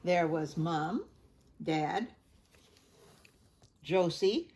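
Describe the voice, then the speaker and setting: A woman reading a picture book aloud to children, gently chatting and stopping to ask the listener questions. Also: on the low side, animated, extremely slow, breathy edge. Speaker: storybook reader.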